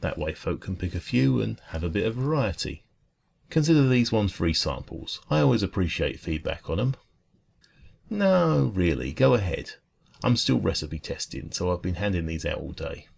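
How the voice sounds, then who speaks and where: A man playing a friendly, caring dragon who runs a cosy café, talking gently and reassuringly in an ASMR roleplay. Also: deep voice, very animated, normal speed, creaky, a little hiss or hum, exaggerated voice. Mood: cheerful, chatty.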